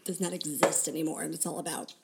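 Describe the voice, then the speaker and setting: A woman who grew up in California and Oregon chats as a podcast guest. Her voice sits fairly low for a woman, and she talks freely and laughs easily. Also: steady tone, quick pace, gravelly, noisy background. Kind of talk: casual chat.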